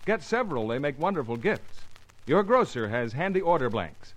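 High-pitched, lively American radio announcer man. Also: normal speed, low-quality recording. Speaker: commercial announcer. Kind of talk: 1950s radio advertising pitch.

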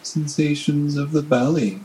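A man leading a guided body scan meditation in a gentle, unhurried manner with long pauses. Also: on the high side, faint music behind, rough recording.

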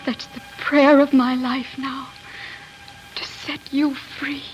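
An actress playing an earnest, devoted young maiden in a 1940s radio fairy-tale drama. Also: female voice, high-pitched, little pitch movement, takes it slowly. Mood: yearning. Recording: dull vintage radio, music behind.

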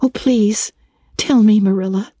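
Eager, gushing, high-voiced schoolgirl. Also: measured pace, warm tone, slightly rough, no echo, studio quality. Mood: pleading, contrite. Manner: gushing, dramatic, effusive. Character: eager, talkative, earnest orphan schoolgirl. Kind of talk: dramatic audiobook reading of a classic novel.

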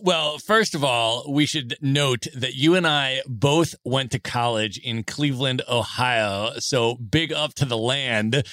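Man, podcast host, conversational questions, mid-range pitch, dry echo-free recording. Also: fairly bright tone. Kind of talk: conversational interviewing.

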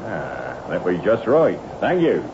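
A man's voice: low voice, wildly swooping pitch, slow, heavily acted. An actor playing a rustic male petrol pump attendant with a folksy, foreboding way of talking in an old radio drama. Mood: folksy, plain. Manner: folksy country dialect, foreboding. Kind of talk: 1960s radio horror drama acting. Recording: noisy, dull old 1960s radio recording.

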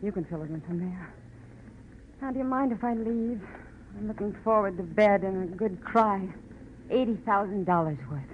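An American actress playing a curt, defiant young femme fatale in a 1940s radio drama. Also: female voice, mid-range voice, normal speed, natural voice. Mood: resentful. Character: brazen, determined young femme fatale with a gun. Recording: muffled, noisy old radio recording.

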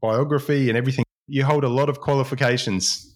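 A man's voice: mid-range voice, fairly even intonation, fast. A man hosting a podcast, introducing his guest with enthusiasm. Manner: upbeat, enthusiastic presenter. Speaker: podcast host. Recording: faint music under voice.

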